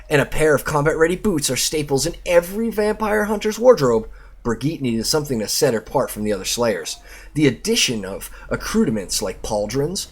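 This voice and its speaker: A man's voice: medium pitch, slightly rough. A man hosting a channel, reading aloud and tossing in casual asides in a playful, theatrical way. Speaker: channel host.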